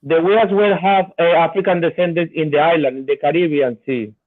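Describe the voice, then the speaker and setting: A Colombian man, a native Spanish speaker with a Colombian Spanish accent, joining as a guest caller, eager and halting, often repeating words. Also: a little high, steady tone. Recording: tinny phone-like call line.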